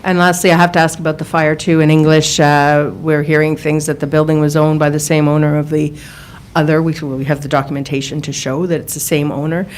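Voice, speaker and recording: A woman's voice: sits low, little pitch movement, a little fast. A woman, a news reporter putting direct questions at a press conference. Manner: loud-voiced, direct. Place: indoor press briefing.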